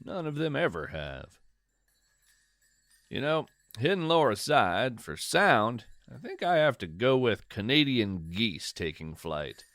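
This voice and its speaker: A man tells whimsical tales in a slow, lively-pitched voice. He muses in a folksy, conversational way, like a host sharing odd observations. The recording is close and very dry. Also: no echo. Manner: musing, folksy, gently whimsical. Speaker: podcast host and narrator. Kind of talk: in-character whimsical fiction monologue, conversational storytelling.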